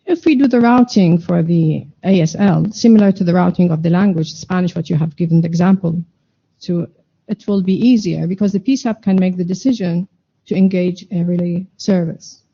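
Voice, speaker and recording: Gravelly dark-voiced woman, lo-fi. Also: lowish voice, moderate pace. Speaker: meeting participant. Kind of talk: technical discussion at a standards meeting. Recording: dull lo-fi conference room audio.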